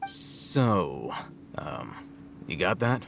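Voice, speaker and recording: An actor playing a calm, serious young male scholar-warrior in an anime video game, speaking tersely and without hurry. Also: sits low, creaky, very noisy, exaggerated voice. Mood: dry. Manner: terse, unhurried, matter-of-fact. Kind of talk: English-language anime video game voice acting.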